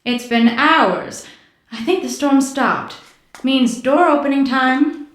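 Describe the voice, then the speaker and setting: High-pitched, plucky young adventurer. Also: female voice, slow, a bit bright, roomy sound, a little hiss or hum. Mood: eager, brisk. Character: plucky, impatient young woman adventurer in 1930s mystery. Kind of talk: 1930s radio drama acting.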